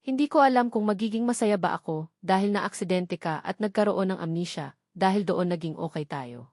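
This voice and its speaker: A woman's voice: mid-range voice, brisk, a little mellow, no echo, studio quality, natural voice. A woman voicing an anxious, lovesick husband in an audio romance novel, pleading earnestly and sorrowfully with his wife. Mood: sad, bitter.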